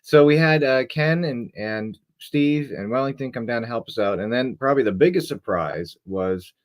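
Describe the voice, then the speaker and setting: A man's voice: medium pitch, normal speed. A young man co-hosting a casual live stream, talking in a laid-back, rambling way with many fillers. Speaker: co-host.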